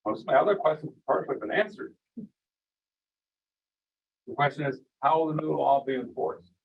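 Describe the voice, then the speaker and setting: A man's voice: a little high, normal speed, vocal fry. A man at a town meeting asking pointed questions, with a dry bit of humor. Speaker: resident speaking at public comment. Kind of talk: public comment at a town meeting. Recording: distant, dull meeting audio.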